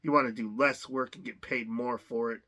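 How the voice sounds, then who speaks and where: A man with a bright, clear-edged voice at an average pitch talks casually through an unboxing. He rambles and hesitates as he thinks aloud, sounding like someone slightly under the weather. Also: steady tone, moderate pace. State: under the weather, occasional cough. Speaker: host of a collector's unboxing channel.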